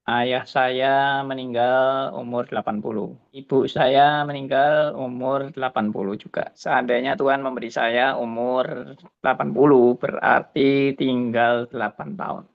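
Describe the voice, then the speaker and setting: Old pastor guy in his 70s, gravelly voice, muses along and keeps saying ya, muffled lo-fi sound. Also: mid-range voice, steady tone, a little slow. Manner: musing, tags phrases with "ya". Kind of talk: reflective spoken testimony.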